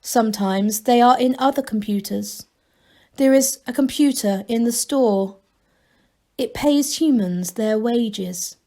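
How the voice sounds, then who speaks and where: A patient, literal storybook machine, voiced by a woman. It talks slowly in short, simple, deliberate statements, as a computer explaining itself, at a fairly high pitch. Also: mellow.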